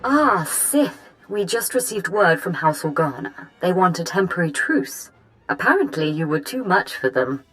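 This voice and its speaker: A woman's voice: lowish voice, very animated, normal speed, fairly bright tone, faint music behind. A woman playing a polite, worried noblewoman in a video game, formal and deferential. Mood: grateful, gracious. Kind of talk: dramatic video game acting.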